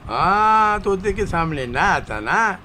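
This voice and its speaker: An exasperated father in a radio drama, scolding with emphasis. His voice sits high and sounds sharp and forceful, over some background noise. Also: sing-song, slow, heavily acted. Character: exasperated, scolding shopkeeper father of a truant boy. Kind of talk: Urdu radio drama acting.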